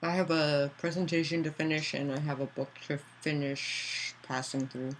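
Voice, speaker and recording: Author on a livestream, mid pitch, rambly and chatty, faint background noise. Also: flat delivery, normal speed, fairly bright tone, poor audio. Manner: chatty, answers chat messages aloud; loose, with many fillers and restarts. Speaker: author streaming to patrons. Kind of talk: casual livestream chat.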